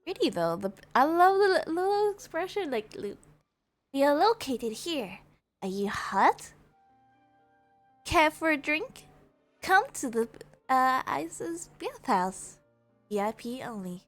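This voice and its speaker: A woman casually commentating as she plays a video game, with soft, playful interjections and reactions. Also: high-pitched, big pitch swings, takes it slowly. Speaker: gameplay streamer. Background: video game music and dialogue.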